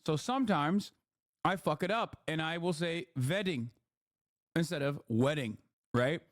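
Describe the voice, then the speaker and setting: A man's voice: on the high side, a little slow, dry recording, clean studio sound. A man, a streamer giving blunt, profane, mocking reaction commentary. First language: Turkish.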